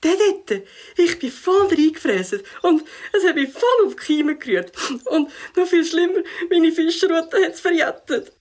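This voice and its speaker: Woman voicing a high-pitched, theatrical cartoon catfish. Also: lively intonation, a little slow, few pauses, a little mellow. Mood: tearful. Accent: Swiss German. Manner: theatrical, exclamatory. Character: hapless, dramatic, grateful catfish. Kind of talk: children's audio play acting.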